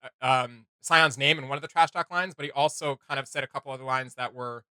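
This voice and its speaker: A man co-hosting a casual reaction video, rambling with lots of fillers and quick laughs. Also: mid-range voice, sharp tone, clear, resonant tone, dry recording.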